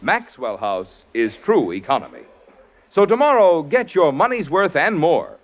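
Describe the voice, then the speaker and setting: A man announcing a commercial and narrating for a 1940s radio show, with a smooth, persuasive sales delivery. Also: fairly high pitch, big pitch swings, measured pace, lo-fi sound. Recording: scratchy 1940s radio broadcast.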